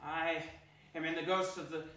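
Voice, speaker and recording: A man playing a fearful, humbled old miser in a theatrical dramatic reading, halting and emphatic as he pleads. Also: fairly high pitch, little pitch movement, bright, forceful tone, slight background noise. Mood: fearful, earnest. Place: theatre hall stage reading. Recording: echoey hall, distant microphone.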